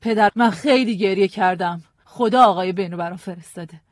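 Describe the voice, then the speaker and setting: Widow figure, done by a male reader: high, soft-spoken, insistent, dry recording. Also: dry recording, close to an everyday voice. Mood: pleading. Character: grieving widowed woman, quietly determined.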